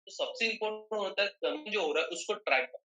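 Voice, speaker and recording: An Indian man's voice, very bright and forceful, a little high for a man, in a roomy, echoing space. He teaches like an online trainer, repeating key phrases. He keeps turning to his listeners to check that they understand. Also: racing, highs cut off. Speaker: online digital marketing trainer. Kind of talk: live online lesson, mixing Hindi and English.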